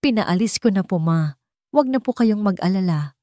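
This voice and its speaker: A woman's voice: medium pitch, animated, normal speed, mellow, dry recording, clean studio sound, close to an everyday voice. A woman voicing an anguished, protective young father in an audiobook drama, speaking with restrained reassurance. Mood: tired, reassuring. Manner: restrained, reassuring, forcing a normal tone. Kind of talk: dramatic audiobook character dialogue.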